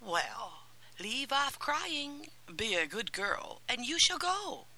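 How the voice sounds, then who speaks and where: A woman playing a brisk, silvery fairy godmother. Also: fairly high pitch, big pitch swings, pitched above the performer's own voice, full character voice, poor audio. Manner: bright, commanding, encouraging.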